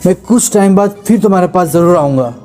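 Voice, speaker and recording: A man's voice: sits high, animated, fast talker, warm tone, faint music behind. A man voicing an earnest, imploring husband, a wealthy traveler in distress, in a moral story. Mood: earnest, grateful. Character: wealthy grateful husband in distress, pleading for help. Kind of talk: Hindi moral story voice acting.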